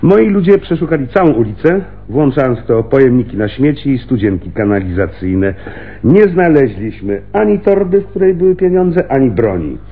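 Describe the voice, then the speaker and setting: An actor playing a probing homicide lieutenant in a radio crime drama, with drawling, conversational interjections. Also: male voice, medium pitch, big pitch swings, normal speed. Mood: confident. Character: wry, probing male police homicide lieutenant. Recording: muffled, band-limited radio recording.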